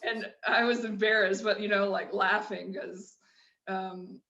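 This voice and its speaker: A middle-aged woman with a flat Midwestern accent shares her story as a podcast guest. She talks candidly and loosely, restarting her sentences often. Her voice has a roomy echo around it. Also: mid-range voice, a little slow, dull audio. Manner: candid, rambling, with frequent restarts and laughs. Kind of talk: personal storytelling in a conversation.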